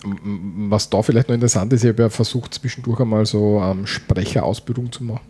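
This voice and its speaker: A man's voice: on the low side, steady tone, fast talker, warm tone, husky. A man with an Austrian German accent and a rolling R, chatting casually as a podcast co-host, his dialect drifting in and out as he talks. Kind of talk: casual chat.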